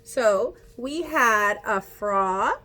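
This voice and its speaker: A woman reading a picture book aloud like a teacher, pausing to explain and ask young children questions. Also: on the high side, animated, sharp tone. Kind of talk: interactive children's read-aloud.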